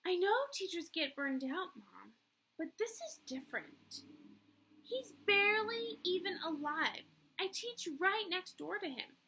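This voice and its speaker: An excitable young female teacher from a storybook, acted out by a woman reader. Her voice is high and bright, and she speaks emphatically, with earnest disapproval. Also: unhurried, slight background noise, exaggerated voice, low-quality recording. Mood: indignant.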